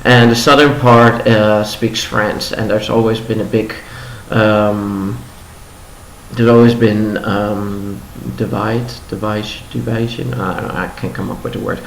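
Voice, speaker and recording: A young man whose first language is Dutch hosts a casual daily news vlog. He talks with many ums and restarts, and his voice sits a little low. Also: fairly even intonation, slight background noise. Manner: halting, frequent ums and restarts. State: voice a little low after a concert. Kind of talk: casual news rundown with commentary. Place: home room in Los Angeles. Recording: roomy home recording with faint ambience.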